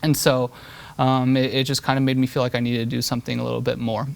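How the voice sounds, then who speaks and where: A man's voice: medium pitch, monotone, fast talker, gravelly. A man in his thirties, from Switzerland, answering political interview questions earnestly, often repeating words as he thinks. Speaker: state representative guest.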